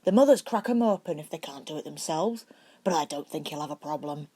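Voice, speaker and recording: A big gruff man of the storybook kind, acted out by a woman reading aloud. He speaks in a rustic way, dropping word endings, and dotes fondly on his creatures. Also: deep voice, lively intonation, fairly quick, warm tone. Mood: gruff, assured.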